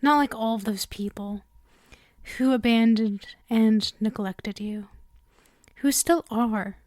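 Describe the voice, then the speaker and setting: A woman performs a sealed female demon who is clingy and utterly devoted, in an intimate ASMR roleplay. Her voice is fairly high, soft and breathy, often slipping toward a whisper. She speaks very slowly and coaxingly, in a close, dry recording. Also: dry recording. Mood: tender, obsessive. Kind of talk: ASMR roleplay, intimate monologue addressed to listener.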